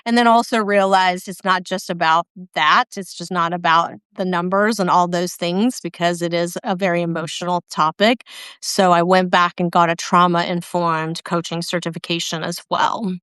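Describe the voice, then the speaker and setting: A woman in her fifties telling her career story in a relaxed video-call interview, pausing often with ums. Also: lowish voice, smooth, no echo, clean studio sound. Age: 50s. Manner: reflective, many ums and restarts. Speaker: guest. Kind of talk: casual interview chat.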